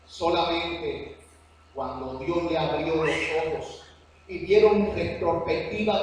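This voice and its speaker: High-voiced Cuban preacher in echoey hall. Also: male voice, distant mic, a little hiss or hum. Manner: earnest preaching with slow emphatic phrases. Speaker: preacher teaching a church class. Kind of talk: sermon. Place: church hall.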